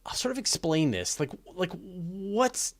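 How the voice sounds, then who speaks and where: A man hosting a live question-and-answer stream in casual, unscripted speech, haltingly restarting his phrases as he rambles along. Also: high voice, very animated. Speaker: host of a live astronomy Q&A stream. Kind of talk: casual, unscripted livestream chat.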